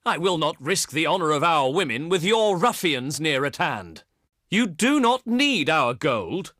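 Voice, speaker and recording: A man's voice: fairly high pitch, big pitch swings, a little slow, bright, forceful tone, dry recording, studio quality, exaggerated voice. A male actor playing a haughty, eloquent ancient Greek diplomat in a video game, speaking in pompous, declamatory oratory. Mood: scornful, indignant. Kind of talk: theatrical scripted game voice lines.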